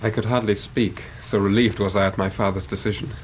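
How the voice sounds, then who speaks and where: An actor plays an earnest, worried young Victorian Englishman in a radio drama. His voice sits on the low side and his speech is formal and precise, with faint noise behind it. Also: flat delivery, fairly quick, lightly acted. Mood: earnest, grave. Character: anxious, earnest Victorian young man, grieving son. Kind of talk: radio drama acting, narrating his own story.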